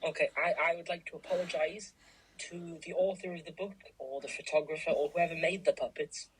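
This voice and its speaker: A man's voice: a little high, steady tone, brisk, low-quality recording. A man chats casually and jokily as the co-host of a craft show. His sentences often start hesitantly, and there is faint noise behind him. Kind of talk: casual, jokey chat.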